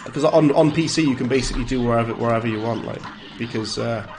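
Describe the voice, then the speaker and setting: A man's voice: medium pitch, fast talker, background noise. A man with a Welsh accent streaming a game live, chatting casually, greeting viewers and reacting aloud to the play. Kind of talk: live gameplay commentary and chat replies.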